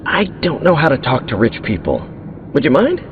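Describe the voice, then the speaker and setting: A man's voice: mid-range voice, wildly swooping pitch, moderate pace, a little hiss or hum. An actor playing an eager, awkward young male superhero in a video game, earnest, chatty and a little hesitant. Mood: sheepish. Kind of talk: video game dialogue acting. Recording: tinny band-limited game audio, music behind.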